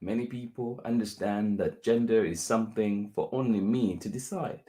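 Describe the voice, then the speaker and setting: A man reading a children's picture book aloud as a teacher would, deliberately, with pauses between phrases. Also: on the low side, warm tone.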